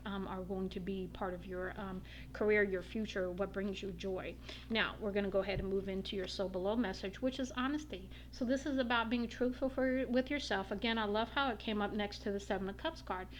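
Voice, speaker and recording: A woman giving a casual tarot reading, her voice slightly raspy from a long day, meandering with many ums and uhs. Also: medium pitch, a little hiss or hum. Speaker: tarot reader.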